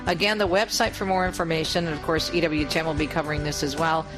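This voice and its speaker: A man's voice: on the high side, level tone, fast talker. An enthusiastic radio host chats with his guest in an easy, conversational way. Faint music sits behind his voice. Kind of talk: radio interview.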